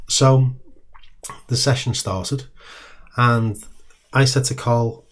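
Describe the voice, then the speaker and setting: A middle-aged British man with a hoarse, croaky voice, hosting and telling a story in a relaxed way. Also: mid-range voice, takes it slowly. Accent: British English. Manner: relaxed, with frequent ums and acted-out dialogue. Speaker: host. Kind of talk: casual storytelling to camera.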